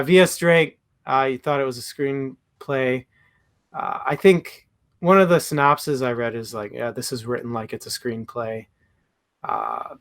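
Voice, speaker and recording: A man's voice: medium pitch, a bit bright. A young man co-hosting a casual book talk, hesitant with many fillers and self-mocking humor. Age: young adult. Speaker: book podcast co-host.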